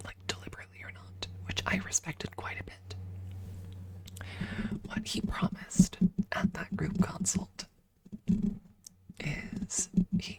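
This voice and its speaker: A woman ASMR streamer speaking in a breathy up-close whisper, softly and deliberately telling casual stories to viewers right at the microphone. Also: lowish voice. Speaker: ASMR livestreamer.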